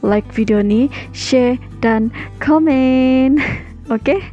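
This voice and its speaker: A woman's voice: high-pitched, extremely slow, muffled recording, soft background music. A young woman from Sabah, Malaysia, with a Sabahan Malay accent, giving casual reaction commentary and dropping in English phrases. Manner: chatty, mixes in English phrases. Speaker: reaction video host.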